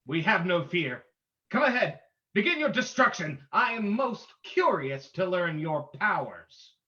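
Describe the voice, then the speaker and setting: Guy acting as bold radio detective gent, theatrical, bright forceful voice, slightly dull audio. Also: sits high, sing-song, measured pace, exaggerated voice. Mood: defiant. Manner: crisp, theatrical, commanding. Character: bold, confident gentleman detective. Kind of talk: old-time radio drama acting.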